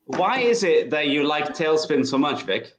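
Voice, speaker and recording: A man's voice: a little high, very animated, normal speed, echoey room. A man in his thirties hosting a casual group chat in an informal, sweary way. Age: 30s. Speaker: podcast host.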